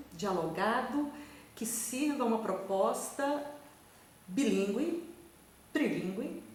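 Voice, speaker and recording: A professor with a Brazilian accent speaks in a calm, measured way, asking one rhetorical question after another. She is a woman of middle pitch, and her voice sounds far from the mic in a very echoey room with a faint hiss behind it. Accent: Brazilian Portuguese. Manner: measured, reflective, poses many rhetorical questions. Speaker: university professor. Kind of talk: recorded talk to a conference.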